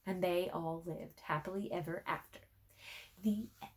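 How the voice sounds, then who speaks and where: A woman's voice: on the low side, fairly even intonation, moderate pace. A woman reading a fairy tale aloud as a storyteller, theatrical and playfully conspiratorial. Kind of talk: expressive scripted narration of a fairy tale.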